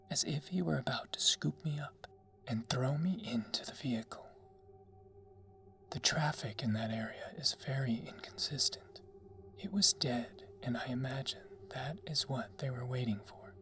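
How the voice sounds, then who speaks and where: A narrator reading a scary story in a hushed ASMR whisper, slow and deliberate. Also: medium pitch, gravelly. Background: background music.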